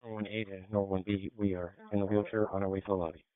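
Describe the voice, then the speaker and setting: A man's voice: sits low, flat delivery, very fast, a little hiss or hum. An American man, a field unit making brief transmissions over a two-way radio. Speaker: police or emergency unit on radio. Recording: tinny phone-like scanner radio.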